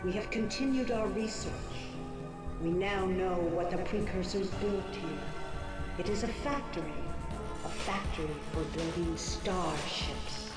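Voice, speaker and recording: Actress as a slow, solemn woman scientist. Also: lowish voice, echoey room, loud music behind the voice, natural voice. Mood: solemn, resolute. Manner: grave, measured. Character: solemn, resolute woman scientist giving a farewell briefing. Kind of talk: scripted dramatic game narration.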